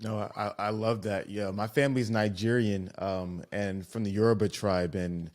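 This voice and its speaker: A man hosting a podcast, responding appreciatively in halting speech full of fillers. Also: on the low side, fairly even intonation, darkish tone. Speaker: podcast host. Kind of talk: podcast interview.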